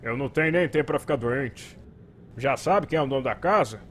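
A man's voice: medium pitch, brisk, fairly bright tone, slight background noise, close to an everyday voice. A man with a Brazilian accent voicing a weary, suspicious, easily exasperated small-town policeman in a cartoon. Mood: wary. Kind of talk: cartoon dub.

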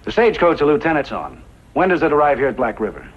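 An actor playing a bossy, calculating gunrunner gang boss in a 1950s radio western. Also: male voice, on the high side, big pitch swings, fast talker. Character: scheming, commanding male gunrunner gang boss. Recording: dull 1950s radio recording.

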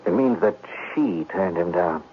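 A sly gambler plotting against his family. His low voice swings theatrically between feigned innocence and calculation. It sounds like a muffled, noisy old radio drama. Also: animated, a little slow. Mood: feigned worry. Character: scheming, smooth gambler brother narrating his own plot. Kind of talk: 1970s radio drama acting.

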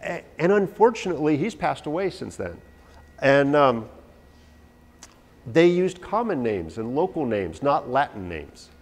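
A man in his forties, an herbalist, giving a lively, anecdotal and self-deprecating talk to a live audience in a large hall, recorded from a far camera. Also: on the high side, measured pace. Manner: animated, anecdotal, self-deprecating, gesturing while talking. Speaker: herbalist lecturer. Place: large hall with a stage, indoors. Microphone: no visible mic, far camera.

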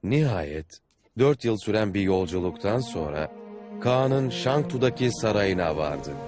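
Earnest cartoon explorer telling his travels, lowish voice, music playing behind. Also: animated, unhurried, a little mellow. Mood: earnest storytelling. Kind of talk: dubbed cartoon storytelling narration.

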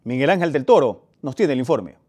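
A man presenting the news from a TV studio in a formal, steady way. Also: medium pitch, fairly quick, husky. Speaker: news anchor.

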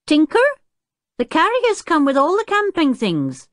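A woman doing a high fussing housekeeper. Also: huge pitch swings, unhurried, no echo, clean studio sound, full character voice. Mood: calling. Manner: fussing, scolding. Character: bossy motherly adult housekeeper woman.

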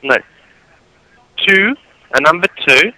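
A man's voice: on the high side, expressive, slow pace, bright, forceful tone, dry recording, low-quality recording. A man working as tech support, patiently and politely repeating numbers to a caller. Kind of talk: phone support call.

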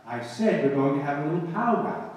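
A man's voice: a little high, expressive, a little fast, recorded from a distance, natural voice. A man playing a pompous, exasperated father in old-time radio comedy, with formal wording. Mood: stern sarcasm. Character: exasperated, pompous father of a naughty little girl. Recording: echoey hall stage, captured from afar.

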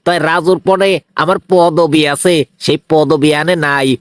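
A man's voice: a little high, fairly even intonation, unhurried, clean tone, no echo, studio quality, lightly acted. A man voicing a brash, bullying cartoon police inspector, blunt and threatening, with a Bangladeshi regional Bengali accent. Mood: menacing. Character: brash, bullying male police inspector, tough and self-righteous. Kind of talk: comic cartoon dialogue.

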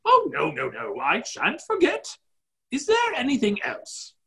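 A man's voice: very high voice, wildly swooping pitch, a little slow, vocal fry, sharp tone, exaggerated voice. A middle-aged man playing a genial, muddle-headed, blustering duke in a play reading over a video call. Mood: breezy, self-satisfied. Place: indoor office, video call. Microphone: computer microphone on a video call.

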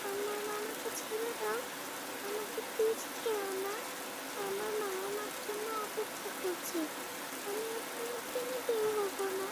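Cartoon little girl played by a woman: stubborn, childlike, very high voice, coaxing, with rain clearly behind. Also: heavy background noise. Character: stubborn, pleading young village girl who loves rain. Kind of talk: cartoon voice acting. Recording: rain sounds over voice.

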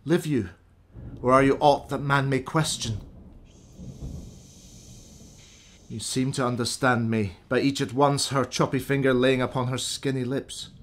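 A bold noble warrior played by a man questions in a medium-pitched voice, with the heightened speech of classical radio drama. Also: fairly even intonation, normal speed, slight background noise, lightly acted. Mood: wary wonder.

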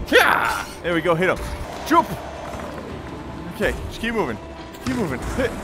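Male gamer, exclamatory live commentary, very lively pitch swings, unhurried overall pace. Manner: exclamatory, short self-directed bursts. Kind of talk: excited live gameplay commentary. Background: game music.

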